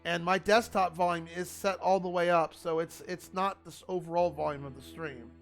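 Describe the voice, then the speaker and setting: A man streaming a video game, talking in a loud, rambling, chatty way to his viewers. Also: sits high, steady tone, normal speed, soft background music. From Italian family. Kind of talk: casual gaming livestream chat.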